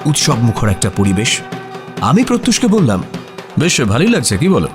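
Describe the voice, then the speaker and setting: A detective's curious writer friend who tells the story himself. His mid-range voice moves with lively intonation in an expressive radio-drama style. Soft music runs behind the narration. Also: medium pitch, moderate pace. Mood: descriptive narration. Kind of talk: first-person radio story narration and acting.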